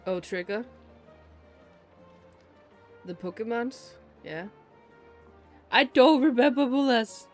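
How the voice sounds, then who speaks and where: A man's voice: extremely high pitch, expressive, slow pace, long pauses. A man giving casual, rambling, incredulous commentary as he streams a game and banters with viewers. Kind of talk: casual live gameplay commentary. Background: faint game music.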